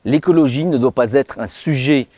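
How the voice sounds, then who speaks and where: Man with crisp, dry delivery. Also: medium pitch, normal speed, warm tone, no echo. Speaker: pharmaceutical industry worker. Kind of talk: campaign testimonial.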